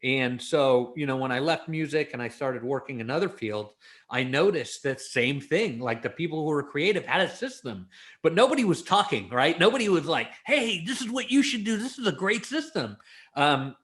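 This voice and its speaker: A man's voice: a little high, lively intonation, moderate pace, fairly bright tone. American man chatting as interview guest. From Los Angeles, United States. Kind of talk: casual conversational interview.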